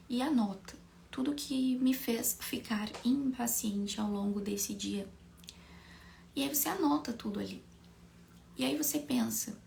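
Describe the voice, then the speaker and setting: A Brazilian woman teaches an informal online class in a fairly high voice with plenty of creak. She sounds a little hoarse from a cold. She explains conversationally, often checking in with short tag questions, over a faint layer of noise. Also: darkish tone. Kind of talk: informal live lesson with rhetorical questions.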